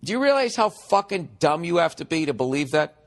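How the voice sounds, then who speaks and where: A man's voice: a little high, big pitch swings, brisk. A man in his forties, a podcast host reading a listener email and ranting sarcastically and profanely about it. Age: 40s. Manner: mocking, exasperated. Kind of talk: comedic podcast rant.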